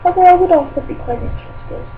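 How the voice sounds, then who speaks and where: Lady doing a dreamy oddball witch girl, high voice, politely curious and rambly comic story reading, sounds like a tinny phone recording. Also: quick pace, a little hiss or hum. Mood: curious, detached. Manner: airy, musing, rambling asides. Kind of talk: in-character comic reading of fan fiction.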